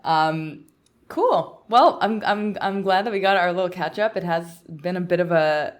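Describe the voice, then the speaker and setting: Canadian woman podcast host just chatting, voice a bit on the low side. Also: moderate pace. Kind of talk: casual podcast chat.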